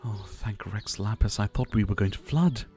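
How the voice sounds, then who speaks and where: A man playing a gentle, ailing pharmacist in an ASMR roleplay, soft-spoken and courteous, with wistful, theatrical phrasing. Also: fairly low pitch, moderate pace, natural voice. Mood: warm, playful. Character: gentle, ailing male pharmacist, courteous, earnest, devoted healer. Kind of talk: ASMR roleplay addressed to the listener.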